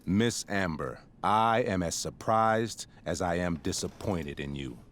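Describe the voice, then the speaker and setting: A man's voice: low voice, lively intonation, measured pace, a bit bright, a little hiss or hum. A man playing a stern, pompous middle-aged school principal in a dramatic video game, speaking in formal, wordy phrases. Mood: grave, disappointed.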